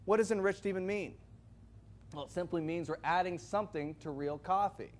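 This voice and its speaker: Man, high voice, loud projecting presenter, quizzes the crowd. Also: moderate pace. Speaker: product presenter. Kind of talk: sales presentation to a live audience.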